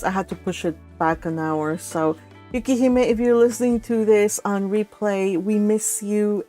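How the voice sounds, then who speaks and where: Female livestream host, chatty and encouraging, mid-pitched, casual delivery, music underneath. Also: a little mellow. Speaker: host of a group read-along stream.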